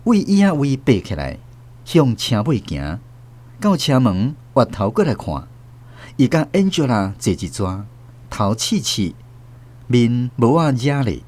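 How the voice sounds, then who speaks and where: Casting: old Taiwanese man recalling lost love, emotive literary narration, animated mid-range pitch. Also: measured pace. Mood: wistful, stirred. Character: elderly Taiwanese grandfather recalling a lost love, tender, wistful. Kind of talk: scripted radio drama narration.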